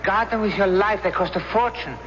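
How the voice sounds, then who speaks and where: A man's voice: sits high, fairly quick, a bit bright. An actor in a 1970s radio drama playing a sensible, comradely German soldier who keeps his friend in check with short remarks. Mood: friendly. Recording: muffled, noisy 1970s radio broadcast.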